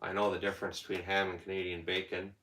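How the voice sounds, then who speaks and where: A man's voice: low voice, level tone, fast, pushed and bright, reverberant, slight background noise. A man hosting a casual livestream, rambling and answering viewers' comments as they come in. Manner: rambling, talks back to chat comments. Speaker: livestream host.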